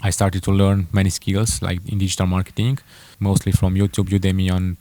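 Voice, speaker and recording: A young man with a Romanian accent telling his own story to camera, halting and correcting himself with many fillers. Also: sits low, completely flat delivery, dark tone. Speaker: entrepreneur vlogger. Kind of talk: casual personal story to camera.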